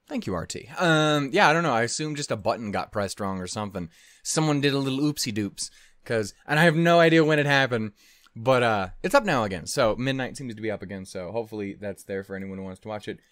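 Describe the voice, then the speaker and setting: A man in his thirties chats like a reaction video host, with a middling pitch. He rambles, swears and doubles back on himself in a flustered way. The voice is close and dry on a desk mic. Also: a bit bright, clear, resonant tone, no echo. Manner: sweary, flustered, halting and digressive. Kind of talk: casual rambling reaction commentary. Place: small furnished room at home, indoors. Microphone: close desk condenser microphone.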